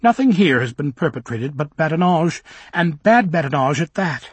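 A man voicing a sharp-witted young woman who speaks with dry sarcasm. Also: a little high, lively intonation, normal speed, husky, dry recording, voice pushed up. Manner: dry, sardonic.

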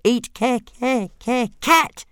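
A man's voice: very high-pitched, slow pace, fairly bright tone, smooth, dry recording, clean studio sound, voice pushed up, full character voice. A man voicing a tiny, greedy baby male dragon who stammers and shrieks his demands. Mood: frantic. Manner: stammering, shrieking, with pops in speech.

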